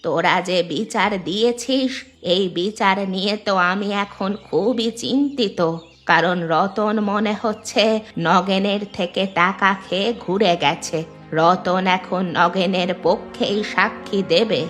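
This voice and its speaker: A woman's voice: mid-range voice, a little slow, fairly bright tone, natural voice. A woman playing an earnest, worried old village grandmother in a cartoon. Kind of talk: dubbed cartoon dialogue. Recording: music bed behind the voice.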